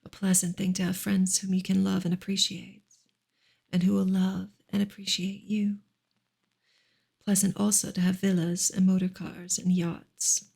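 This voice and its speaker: A woman reads a novel aloud in a soft, hushed ASMR style, sometimes close to a whisper. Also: mid-range voice, monotone, moderate pace, gravelly, slightly rough. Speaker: reader on an ASMR channel. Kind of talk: soft ASMR audiobook reading.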